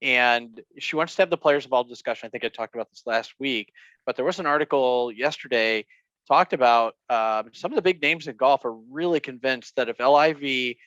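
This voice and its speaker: Male podcast co-host, mid-pitch, hesitant, a dull and dry lo-fi recording. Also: brisk, fairly bright tone, no room sound. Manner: hesitant, many fillers. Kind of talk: casual sports chat.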